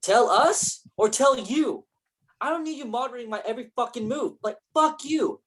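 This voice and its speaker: A woman's voice: on the high side, moderate pace, close to an everyday voice. A woman in a staged play reading, playing a defensive, ambitious male film actor with a casual, profane manner. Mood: defensive, angry.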